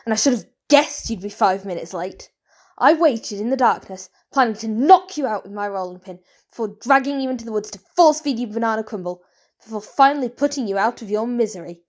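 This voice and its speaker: A proud, scolding auntie with a murderous grudge acted by a woman speaks in a high voice that swings freely with indignation. She is theatrical and wounded, made for a comic whodunnit. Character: scolding, wounded aunt turned murderer, proud of her cooking. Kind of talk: comic audio drama acting.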